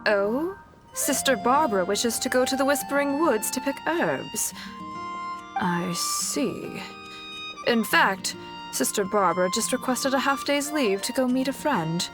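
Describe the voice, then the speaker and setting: A woman voicing a kindly senior church sister in an anime game, measured, formal and caring. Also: on the high side, lively intonation, poor audio. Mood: calm, motherly. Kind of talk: anime game character voice acting. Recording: music behind the voice. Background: game music.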